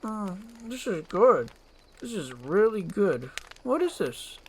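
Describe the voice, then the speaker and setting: A reader plays a young fairy-tale princess. Her voice is raised in pitch, gentle and polite, with a concerned air. A faint noise runs underneath. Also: very animated, slow. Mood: pleased, curious.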